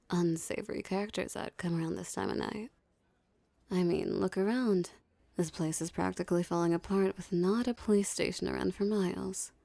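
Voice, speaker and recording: Woman playing female mafia boss, mellow dark tone, gravelly fry, teasing, dominant, unhurried, ASMR roleplay. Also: fairly low pitch, no room sound. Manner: smooth menacing flirtation, condescending, unhurried. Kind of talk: ASMR roleplay, scripted character acting.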